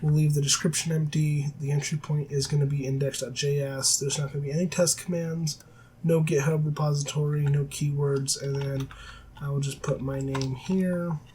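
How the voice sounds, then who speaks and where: A man with a cold-roughened raspy voice, teaching a coding tutorial over a screen recording with many filler sounds and self-corrections. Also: on the high side, level tone, moderate pace, a little mellow, slight background noise. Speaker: programming tutor. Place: at a desk, voice-over of screen capture. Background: faint keyboard typing.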